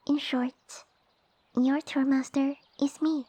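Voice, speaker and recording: A woman voicing a small, sassy female lamia who rules her village, speaking softly and breathily with formal, imperious phrasing in an ASMR roleplay. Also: high-pitched, level tone, slow pace, no echo. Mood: proud, imperious. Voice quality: soft, breathy, whispery. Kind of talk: ASMR roleplay audio addressed to the listener.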